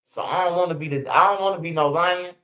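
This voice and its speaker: A man in his forties talks in a slightly high voice, rambling through street stories on a livestream. He is blunt and profane and keeps dropping "bro" and "man." The sound is dull and lo-fi. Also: fast talker, bright, forceful tone. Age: 40s. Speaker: host of a street news channel. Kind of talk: casual livestream storytelling.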